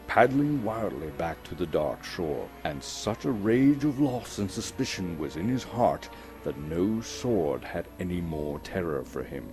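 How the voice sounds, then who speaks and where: Deep, dramatic male storyteller. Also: warm tone. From Canada. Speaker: storyteller, actor reading a novel. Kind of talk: dramatic scripted narration.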